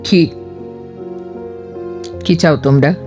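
An actress playing a grave, caring middle-aged mother in an audio drama, measured and dramatic. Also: low voice. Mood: stern, anguished. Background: faint music.

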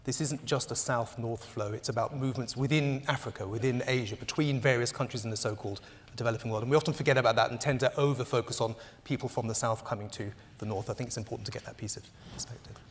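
Quick, articulate man on a panel. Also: mid-range voice, steady tone. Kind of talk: panel discussion. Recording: long hall reverb.

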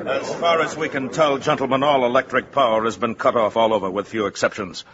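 An actor playing a commanding, stern army general in 1950s radio drama, formal and authoritative. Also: male voice, medium pitch, steady tone, a little fast, a bit bright, lightly acted. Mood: grave, official. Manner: authoritative, clipped formal address. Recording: dull, slightly noisy 1950s radio.

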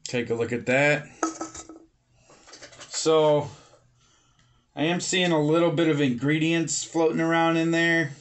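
A man in his thirties casually reviewing a beer at home, relaxed and filling pauses with "um" and "uh", recorded on a webcam or phone at his desk. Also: fairly high pitch. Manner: relaxed, many fillers and pauses. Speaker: beer reviewer, channel host. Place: home room with bookshelves, indoors.

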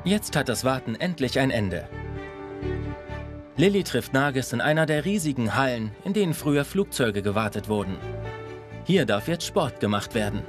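A male narrator reads a scripted documentary for young viewers. His pitch moves in a very animated way, and music plays clearly underneath. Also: moderate pace.

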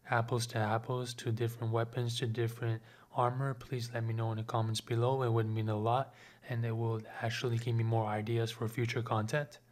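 A young man of Lebanese Armenian background giving casual gameplay commentary at home, chatting to viewers and asking for comments. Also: medium pitch. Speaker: gaming YouTuber.

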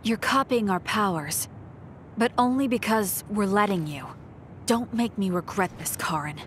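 A woman's voice: mid-range voice, lively intonation, measured pace, background noise, lightly acted. A woman playing a serious, determined young heroine in an anime game dub, firm and direct.

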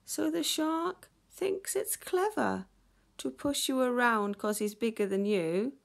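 A woman's voice: fairly high pitch, lively intonation, slow pace, creaky, pitched above the performer's own voice, exaggerated voice. A woman voicing a clever, resolute squid in a children's story, questioning and then taking charge. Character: clever squid, concerned and reassuring problem-solver.

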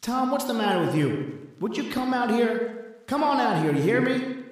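A man's voice: very high voice, sing-song, moderate pace, husky, heavily acted, poor audio. A man playing a tense husband who leads his wife underground in a radio drama, curt and snapping. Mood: angry.

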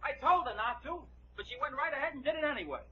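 A man's voice: very high voice, lively intonation, fast, muffled recording. An actor playing a sheepish, lovestruck young man in an old-time radio drama, on a noisy old recording. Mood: apologetic.

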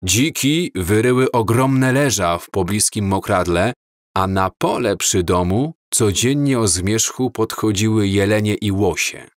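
Slow, low-voiced man reading a story. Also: no room sound, studio quality. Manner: slow, measured, calm reading. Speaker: audiobook narrator. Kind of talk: scripted storybook narration.

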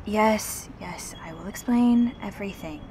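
A woman playing a gentle, reassuring revenant in an intimate audio roleplay, speaking earnestly and haltingly. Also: mid-range voice, slight background noise, natural voice, low-quality recording. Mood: relieved, friendly. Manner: halting, earnest, soft-spoken pauses.

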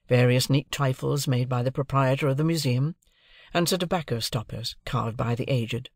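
A male audiobook narrator reads literary prose. The voice is mid-pitched with noticeable creak, recorded dry with no room sound. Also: dry recording.

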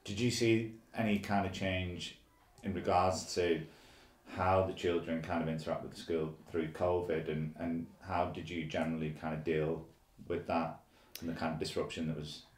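A man's voice: deep voice, flat delivery, normal speed, roomy sound. A man interviewing off camera, asking questions with many restarts. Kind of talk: oral history interview.

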